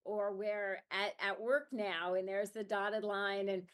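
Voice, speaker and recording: A woman's voice: medium pitch, steady tone, slow pace, smooth. A woman hosting a podcast interview, reflective and thinking aloud, with fillers like "you know" and "like". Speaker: podcast host. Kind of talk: conversational interview.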